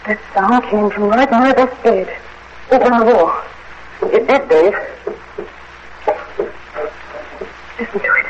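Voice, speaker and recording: An actress with an American accent playing an anxious, compassionate wife in a vintage radio drama. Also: female voice, fairly high pitch, moderate pace, lightly acted. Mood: nervous. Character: frightened, compassionate young wife in a spooky castle. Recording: muffled, noisy old radio recording.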